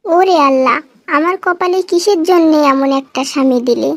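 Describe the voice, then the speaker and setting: Very high-pitched nagging cartoon wife. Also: female voice, moderate pace. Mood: aggrieved wailing. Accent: rural Bangladeshi. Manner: scolding, wailing, complaining. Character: nagging, aggrieved young wife in a comic cartoon. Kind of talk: melodramatic comic cartoon dialogue.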